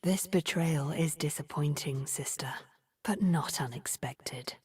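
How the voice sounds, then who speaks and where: A cunning video game sorceress with a very low, husky voice. Her tone is dark and velvety, her delivery measured and theatrical. She sounds imperious, disdainful and quietly menacing. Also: exaggerated voice. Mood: icy disappointment. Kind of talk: video game character voice lines.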